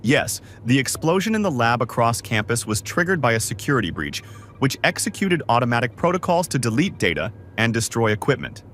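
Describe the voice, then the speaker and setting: Man playing a crew brother, courteous, methodical, animated pitch, audio drama, slight background noise. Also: brisk. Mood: explanatory. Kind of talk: fan audio drama acting.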